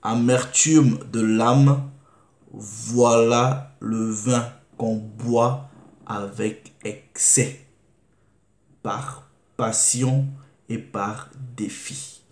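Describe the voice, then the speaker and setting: A man preaching, reading scripture slowly and deliberately and asking rhetorical questions. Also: medium pitch, steady tone, darkish tone. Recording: echoey room.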